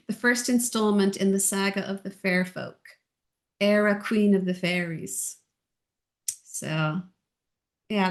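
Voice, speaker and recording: A middle-aged woman from Ireland with a softened Irish accent, chatting as an interview guest in a gentle, reflective way. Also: mid-range voice. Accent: Irish, softened by years in Texas. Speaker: guest, author and audiobook narrator. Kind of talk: casual interview chat.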